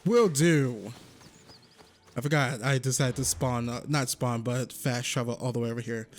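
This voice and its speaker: A man's voice: medium pitch, moderate pace. A man hosting a casual gameplay walkthrough, chatty and rambling, often correcting himself. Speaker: gaming YouTuber.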